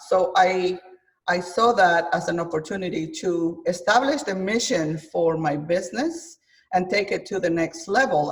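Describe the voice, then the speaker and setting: Woman from Guatemala, interview guest; halting, filler-heavy delivery, a bit off-mic on a video call. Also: on the low side, distant mic. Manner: hesitant, many fillers, thoughtful. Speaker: chef and author guest. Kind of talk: interview conversation.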